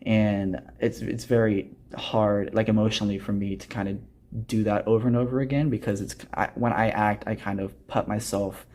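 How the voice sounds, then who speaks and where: A man's voice: on the low side, fairly even intonation, moderate pace, darkish tone. A teenage young man, a student actor, answering interview questions earnestly and reflectively in a conversational way. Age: teens, high school senior.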